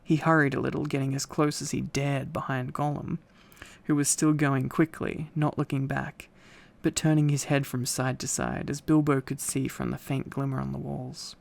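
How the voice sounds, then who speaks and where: A man reads a story aloud as narrator, in a relaxed bedtime style. His voice sits at a middle pitch with plenty of gravelly fry in it. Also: normal speed.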